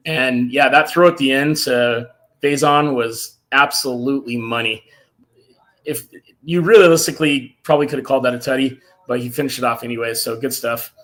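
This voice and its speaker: Hoarse guy hosting a fan stream, fired up, medium pitch, chatty. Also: moderate pace. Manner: excited, reads viewer comments aloud. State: hoarse from cheering. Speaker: fan show host. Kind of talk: casual live-stream sports chat.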